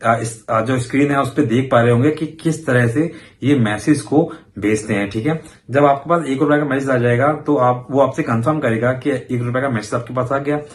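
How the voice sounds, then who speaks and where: A man's voice: medium pitch, normal speed. A man hosting an informal explainer for viewers, talking conversationally and mixing in English words. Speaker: host of a banking awareness video channel.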